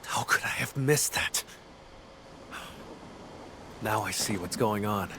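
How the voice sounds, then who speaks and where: An actor voicing a brooding, determined male investigator in a video game, deliberate and grim in manner. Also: on the low side, a little hiss or hum. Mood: pondering.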